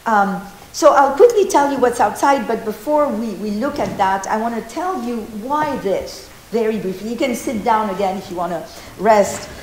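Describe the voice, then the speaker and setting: A woman in her fifties from Argentina gives an informal art history talk, animated and gesturing, with many restarts and fillers, recorded by a distant camera in an old meeting house. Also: fairly high pitch, normal speed, a little hiss or hum. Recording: roomy hall echo.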